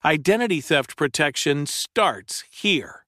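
A man reading a commercial in an earnest, smooth announcer manner. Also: on the high side, expressive, slow pace, pushed and bright, dry recording, clean studio sound. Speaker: ad reader. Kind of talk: commercial read.

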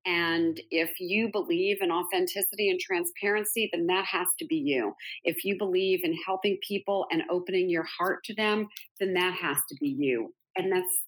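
A woman's voice: fairly low pitch, moderate pace, slightly rough, low-quality recording. A middle-aged woman guest, telling her story in an earnest, emphatic way during an interview conversation. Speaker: interview guest, author and speaker.

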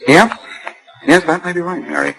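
A man's voice: high-pitched, fairly even intonation, sharp tone, faint music behind, low-quality recording. A man with an American accent playing a confident, sardonic amateur detective in a 1940s radio drama. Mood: brisk, reasoning. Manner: brisk, assertive, sardonic.

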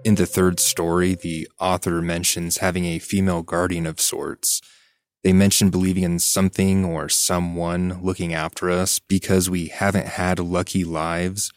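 Deep-voiced man, very dry recording. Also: flat delivery, normal speed, dry recording. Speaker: podcast co-host. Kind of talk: casual discussion.

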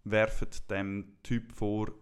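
Calm Swiss German man, lowish smooth voice. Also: takes it slowly. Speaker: podcast co-host. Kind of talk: casual chat.

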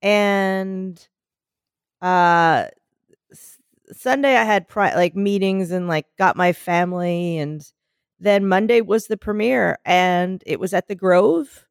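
Scratchy, gravelly-voiced woman chatting. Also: medium pitch, slow, no echo, clean studio sound. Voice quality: smoky, scratchy voice. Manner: chatty, rambling storyteller with many restarts. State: sore throat. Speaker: podcast co-host. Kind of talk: casual chat.

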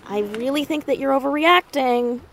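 A woman's voice: sits high, normal speed, noisy background. A woman playing a stubborn, inquisitive teenage girl sleuth in an audio drama, earnest and probing in a casual, argumentative way.

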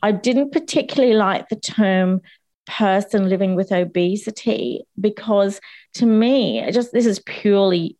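Slightly croaky chatty woman. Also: mid-range voice, a little slow, warm tone. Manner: candid and chatty, with frequent restarts and laughs. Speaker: panel guest. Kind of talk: conversational panel discussion.

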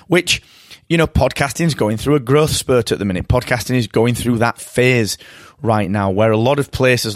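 A British man hosting his own podcast, talking alone from a hotel room in an upbeat, enthusiastic way. His voice is a little croaky from tiredness, and he often repeats words as he talks. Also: medium pitch, no echo, clean studio sound. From Britain. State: slightly croaky voice. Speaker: podcast host. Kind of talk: solo podcast monologue.

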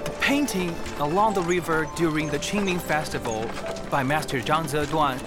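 An actor playing a loyal, supportive young companion to a painter in a radio drama, earnest and helpful. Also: male voice, fairly high pitch, moderate pace, over music, natural voice.

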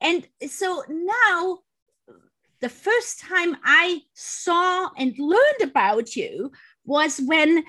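A woman's voice: very high voice, big pitch swings, very slow, bright, forceful tone, low-quality recording. A woman hosting an interview, enthusiastic and full of fillers. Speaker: interviewer, host. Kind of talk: conversational interview.

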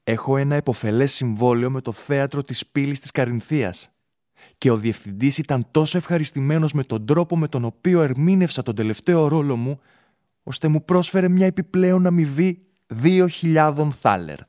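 Actor as an ardent young dreamer, dry sound. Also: male voice, fairly high pitch, warm tone, no room sound, natural voice. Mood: proud, confident. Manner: impassioned, theatrical, declamatory. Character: ardent, ambitious young stage actor and reassuring lover. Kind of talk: radio drama acting.

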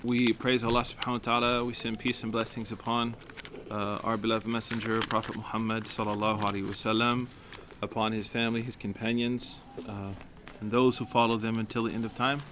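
A man with a deep voice, slightly stuffed up from a cold, leads a religious study circle in an easy, conversational manner. He fills his talk with ums and restarts, and a faint room hum sits behind him. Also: level tone, a little fast. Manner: conversational, with many fillers and restarts. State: has a cold. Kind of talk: casual religious lecture. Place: community center.

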